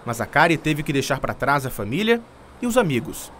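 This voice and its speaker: A man's voice: mid-range voice, very animated, a little fast, fairly bright tone. A man narrating a scripted TV news report.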